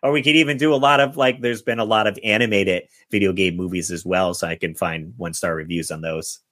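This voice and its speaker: Low-voiced man hosting a podcast. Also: steady tone, brisk, sharp tone, no echo, studio quality. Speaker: podcast host. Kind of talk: casual chat and reading out movie reviews.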